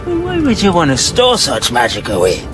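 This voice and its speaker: A man's voice: fairly high pitch, huge pitch swings, moderate pace, music in the background. An actor playing a shrewd, wary craftsman in a video game.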